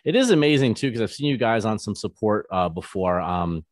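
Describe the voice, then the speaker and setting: A man in his forties hosts a casual interview, stumbling through filler-heavy questions. His voice sits on the low side. The sound is dry and close, picked up by a studio mic at home. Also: expressive, a little fast, no room sound. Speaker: radio host. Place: home studio, posters on wall. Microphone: large studio microphone, webcam.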